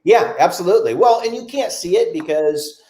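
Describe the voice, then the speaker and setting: A man's voice: high voice, expressive, brisk, warm tone. A man in his forties hosting a podcast, chatting casually and filling his talk with "you know". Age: 40s. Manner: talkative, many "you know" fillers. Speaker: podcast host. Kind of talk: casual interview chat. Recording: echoey room.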